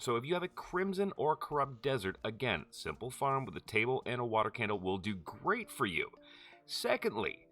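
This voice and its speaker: Lively, bright-voiced man explaining a game. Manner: chatty, jumps high in pitch for quick check-ins. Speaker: gaming tutorial host. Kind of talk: casual game tutorial voice-over.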